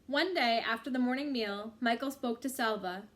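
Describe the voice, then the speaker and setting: A woman reading a book chapter aloud in a measured, expressive storytelling style with drawn-out phrases. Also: fairly high pitch.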